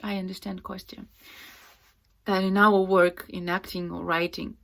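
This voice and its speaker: A woman playing a fragile, distraught young actress in a dramatic, halting stage monologue. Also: mid-range voice, level tone. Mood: quiet resolve. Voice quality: breathy, often dropping to whispers. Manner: halting, intense, swinging from hushed to loud.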